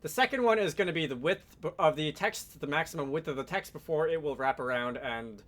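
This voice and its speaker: A man with a fairly high, bright voice walks through a software tutorial. He explains things conversationally, step by step, with casual asides along the way. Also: a little fast. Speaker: tutorial host, game programming instructor.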